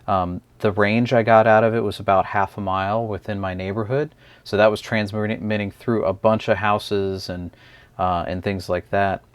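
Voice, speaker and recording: A man with a fairly low voice talks through a gadget in a casual review style. His delivery is unscripted and hesitant as he explains things. The sound is dry and close, with little room to it. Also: flat delivery, normal speed, clean tone, no echo. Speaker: product reviewer.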